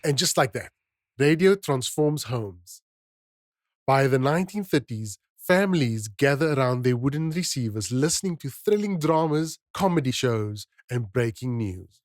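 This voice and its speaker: A man's voice: medium pitch, expressive, measured pace, no room sound, clean studio sound. A man hosting a podcast, reading scripted narration in a dramatic storyteller's manner. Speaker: podcast host.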